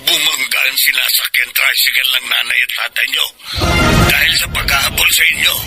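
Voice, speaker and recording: A man's voice: medium pitch, a little fast, music in the background, close to an everyday voice. An actor playing a stern, reproachful old grandfather in a Filipino radio drama. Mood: stern, accusing. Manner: grave, reproachful. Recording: noisy radio-drama track with ambience.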